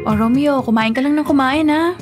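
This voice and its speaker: A woman's voice: high-pitched, brisk, lightly acted. An actress playing a sweet, coaxing young woman, a dutiful daughter and reassuring girlfriend in a radio drama. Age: young adult. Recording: music bed under the voice.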